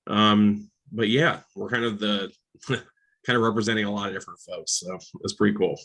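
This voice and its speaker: A man with a low, gravelly, husky voice talks casually on a video call webinar. He speaks easily, with a bright edge to his tone and plenty of fillers. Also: normal speed, poor audio. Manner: relaxed, filler-heavy, laughs easily. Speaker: environmental council staffer. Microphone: computer mic on a video call.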